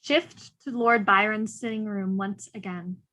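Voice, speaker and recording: A woman's voice: mid-range voice, steady tone, measured pace, pushed and bright. A woman reading stage directions as the neutral narrator of a drama reading.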